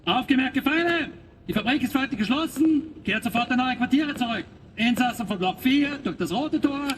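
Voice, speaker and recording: Barking guard with a high, lively voice, echoey hall. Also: male voice, brisk, a little hiss or hum. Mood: commanding. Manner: shouted orders in German. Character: barking male camp guard.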